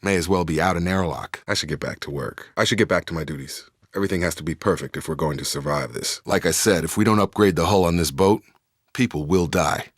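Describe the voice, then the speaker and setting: A steady, loyal soldier from a video game, with a low voice and a close, dry sound. He speaks plainly in clipped military phrases, a guarded realist who keeps to his principles. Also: quick pace, husky, dry recording. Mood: subdued, reserved. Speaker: video game squadmate and security officer.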